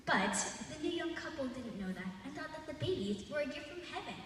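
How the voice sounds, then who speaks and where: An eleven-year-old girl telling a folk tale live on stage in a theatrical, expressive way, with dramatic pauses. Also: high voice. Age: child, 11. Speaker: storyteller. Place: large indoor arena, live festival stage. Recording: distant, echoey arena with long reverb and noise.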